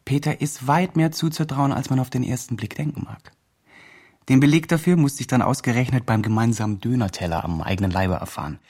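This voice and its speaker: A male actor plays a young male campaigner in a radio drama. He reports on his project with earnest zeal and self-importance. His voice is a little husky, at an ordinary male pitch. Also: fairly quick, darkish tone, close to an everyday voice. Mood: earnest, pleased. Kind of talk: scripted campaign diary narration.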